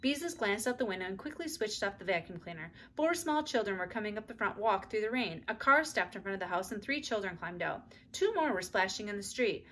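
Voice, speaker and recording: A middle-aged woman reading a children's book aloud in expressive storybook narration, recorded in a room at home. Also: medium pitch, fairly quick, hardly any pauses, a little hiss or hum. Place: small indoor room at home.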